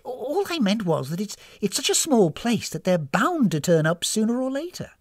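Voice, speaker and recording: A woman's voice: medium pitch, very animated, normal speed, no room sound, clean studio sound, pitched above the performer's own voice. A woman voicing a friendly, flustered old lady who runs a spa, in an airy, hesitant, over-polite storybook manner. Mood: hesitant, concerned. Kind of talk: storybook character voice.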